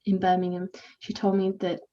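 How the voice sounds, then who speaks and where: Young woman, volunteer mentor; quiet, hesitant delivery, soothing mellow voice, muffled video-call sound. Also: lowish voice, completely flat delivery, a little fast. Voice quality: soft, soothing, relaxing voice. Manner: quiet, introverted, hesitant with many fillers. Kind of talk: casual interview answers.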